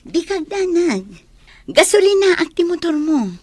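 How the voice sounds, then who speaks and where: A woman's voice: extremely high pitch, sing-song, measured pace, heavily acted. An actress with an Ilocano Filipino accent playing a gushing, boastful young woman in a radio drama. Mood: coaxing. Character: boastful young woman chasing a rich boyfriend.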